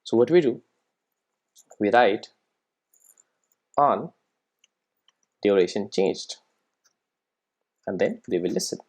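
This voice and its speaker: Coding tutorial teacher, mid pitch voice, walks thru it step by step, keeps checking ur following. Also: sing-song, slow pace, long pauses, darkish tone. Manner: informal, frequent check-in tags like "right?" and "make sense?". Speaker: programming tutorial instructor. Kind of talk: screen-recorded coding tutorial, explaining step by step.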